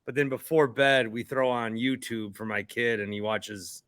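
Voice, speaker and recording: A man's voice: medium pitch, fairly even intonation, normal speed, fairly bright tone. A middle-aged man hosting a sports podcast in a casual, chatty way.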